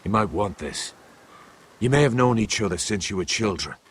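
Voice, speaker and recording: A man voice acting a loyal, cautious retainer in a fantasy game drama, gravelly, deferential and watchful toward his lord. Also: lowish voice, expressive, normal speed, noisy background, natural voice. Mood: wary.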